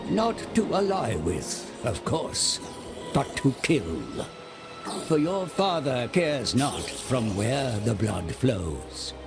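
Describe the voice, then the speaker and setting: A man playing a sly, servile seer who guides demonic warlords, speaking in a theatrical, gravelly voice as dramatic dark fantasy game narration. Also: mid-range voice. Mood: ominous, urging slaughter. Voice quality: creaky, gravelly. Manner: theatrical, deliberate, sly and flattering. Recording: music under the voice. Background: dramatic music.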